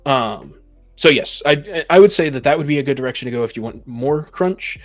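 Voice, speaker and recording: A man's voice: medium pitch, expressive, brisk. Man chatting casually over faint music. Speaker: podcast host discussing tabletop games. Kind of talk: casual opinionated discussion.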